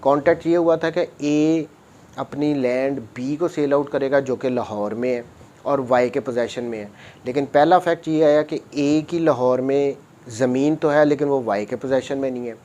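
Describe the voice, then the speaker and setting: A man's voice: mid-range voice, moderate pace, dark tone. A man with a Pakistani accent giving an explanatory law lecture, reading statutes aloud and methodically repeating points as he switches between Urdu and English.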